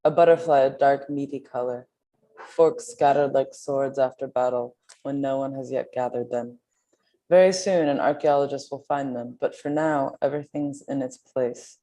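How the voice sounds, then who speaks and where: A woman's voice: rumbling low, steady tone, moderate pace, warm tone. A woman reading translated poetry aloud at a literary reading.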